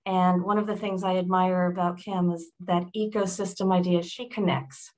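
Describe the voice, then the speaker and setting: A woman hosting a webinar and giving measured, thankful closing remarks. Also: lowish voice, level tone, darkish tone. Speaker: webinar host.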